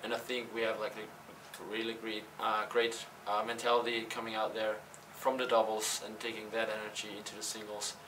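Young male athlete, low-ish and flat, halting delivery, distant mic. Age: young adult. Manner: hesitant, many fillers and restarts. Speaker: college tennis player. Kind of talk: post-game press interview. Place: press backdrop room. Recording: slightly distant, faint room noise.

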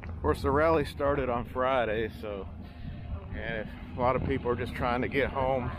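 A man's voice: mid-range voice, steady tone, moderate pace. A man casually narrating a walk around a dusty campground, with laid-back, wry remarks. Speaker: travel vlogger. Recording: loud outdoor ambience and wind.

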